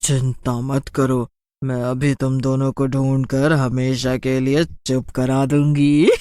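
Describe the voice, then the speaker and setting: A woman voicing a menacing blind witch in a horror story, with a slow, taunting, drawn-out delivery. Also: extremely low pitch, level tone, very noisy. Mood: mocking threat. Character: menacing blind witch who hunts children.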